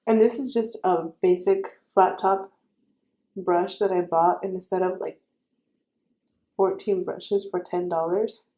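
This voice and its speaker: Young female beauty vlogger, raspy, warm low-brightness tone, casual chatty tutorial delivery. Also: steady tone, a little slow, pauses often. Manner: chatty, self-correcting, friendly advice.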